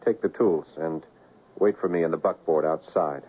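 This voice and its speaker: An actor playing a gentle reformed safecracker with hesitant courtesy, in old-time radio drama style. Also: male voice, a bass voice, normal speed, husky. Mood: calm, deliberate. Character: gentle reformed safecracker, a man saying goodbye to his fiancée. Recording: muffled narrow-band radio, faint hiss.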